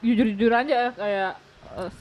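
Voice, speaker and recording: A woman's voice: a little high, normal speed, highs cut off. A young woman with a Jakarta accent chatting playfully as a podcast co-host, dropping in English phrases. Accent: Jakarta Indonesian. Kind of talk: casual group chat.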